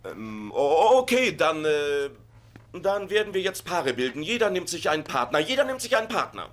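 A man's voice: on the high side, big pitch swings, normal speed, fairly bright tone. A man acting in a German sitcom dub as an eager, insecure husband turned substitute teacher, hesitant and awkwardly chummy. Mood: nervous, eager.

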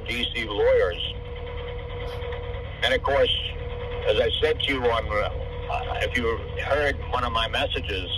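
An older man, over 65, talking in a rambling, profane, hard-to-follow way on a phone call. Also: medium pitch. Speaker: caller. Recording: distant phone call with faint car noise.

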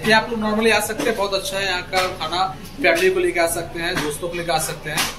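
A man's voice: high-pitched, steady tone, fast talker, noisy background. A man from Kolkata, reviewing dishes in a casual food vlog. He talks straight to his viewers as friends and often repeats himself while trying the food in a restaurant. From Kolkata, India. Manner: chatty, addresses viewers as friends, repeats phrases. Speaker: food vlogger. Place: restaurant dining room. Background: loud music.

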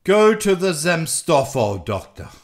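A man's voice: a little high, very animated, a little slow, vocal fry, pitched above the performer's own voice, full character voice. A man playing an exhausted, grumpy, feverish country doctor, muttering and stubborn, in a storybook reading. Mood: weary refusing. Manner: growling, muttering, weary.

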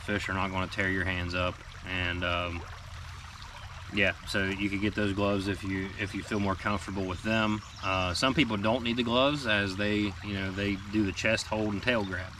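A man in his thirties with a fairly low voice talks easily from a small boat outdoors, chatting through gear tips with lots of ums and small asides. The camera mic sits a few feet off, so he sounds a little distant, with clear outdoor noise around him. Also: moderate pace. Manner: relaxed, plain-spoken, many ums and asides. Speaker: fishing guide and show team member. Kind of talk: casual instructional talk to camera. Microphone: camera mic from a few feet away.

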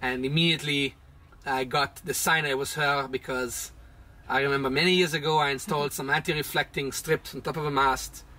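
A man's voice: medium pitch, steady tone, a little fast, bright, forceful tone. A man in his thirties telling a sailing trip story in a chatty, casual way from a small boat cabin. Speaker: vlog host, sailor. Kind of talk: casual travel narration.

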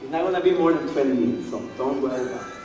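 A young man, a pastor whose first language is Spanish, preaching a bilingual sermon in English and Spanish at a church pulpit with a Spanish accent, growing animated as he goes. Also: fast talker, dull audio, music in the background. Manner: animated, switches between English and Spanish, rising pitch when excited. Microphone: gooseneck pulpit mic, far camera. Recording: echoey church from far off.

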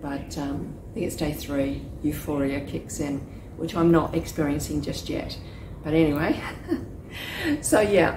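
Low, slightly croaky woman vlogging. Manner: rambling, chatty, many restarts and fillers. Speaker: psychic reader and vlogger. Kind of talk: casual check-in vlog. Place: small courtyard with potted palts, outdoors. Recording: echoey with loud ambient noise.

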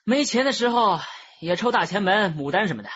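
A man's voice: high-pitched, animated, brisk, a bit bright, slight background noise. Cocky street kid, teen boy, wheedling radio drama voice, bit muffled. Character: brash, slippery streetwise teenage boy under questioning.

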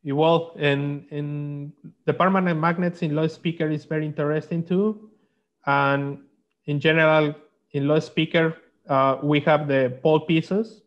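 Man, halting phrasing, technical explainer, muffled online-call sound. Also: on the high side, steady tone. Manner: halting, non-native English phrasing. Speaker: guest, magnetics consultant. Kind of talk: technical slide presentation. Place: remote video call. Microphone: video call microphone.

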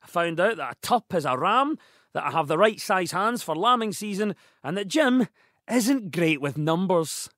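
A man with a high, bright voice hosts a comedy show. His pitch bounces around a lot as he leads the panel with quick, teasing quips. The sound is close and dry. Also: lively intonation, no room sound. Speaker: host. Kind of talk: comedy radio show hosting.

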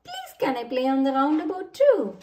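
A woman's voice: sits high, wildly swooping pitch, normal speed, dark tone, roomy sound, distant mic, slight background noise. A woman voicing a friendly, eager young girl rabbit in a children's storybook, speaking politely.

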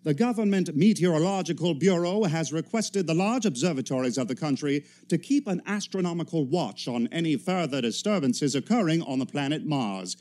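An actor playing a formal, urgent 1930s radio news announcer reading bulletins in a radio play. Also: male voice, a little high, lively intonation, fairly quick, hardly any pauses, darkish tone, lightly acted.

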